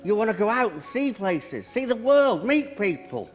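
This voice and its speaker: A bumbling pantomime spellcaster, high-voiced and very lively in intonation. He coaxes eagerly with stammers and hesitations, in a reverberant hall. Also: moderate pace. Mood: coaxing. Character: bumbling, eager, well-meaning pantomime magic helper. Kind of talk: pantomime stage acting. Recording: hall reverb with music, live stage.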